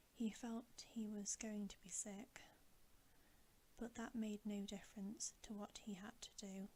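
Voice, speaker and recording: Whispering woman reading a bedtime story slowly. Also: medium pitch, slight background noise, poor audio. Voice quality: breathy, unvoiced whisper. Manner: soft, slow, measured. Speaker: ASMR storybook reader, channel host.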